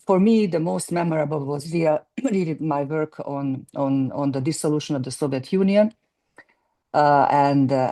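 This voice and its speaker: A woman in her sixties, a guest economist speaking in a halting way as she searches for words. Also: low-pitched, dark tone. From Hungary. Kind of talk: conversational interview.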